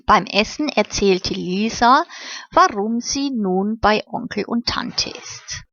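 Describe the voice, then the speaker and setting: A woman narrating a children's story in a slow, measured way. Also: medium pitch, expressive, a bit bright, dry recording. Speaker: audiobook narrator. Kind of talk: scripted fairy-tale narration. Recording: slightly muffled, dry recording.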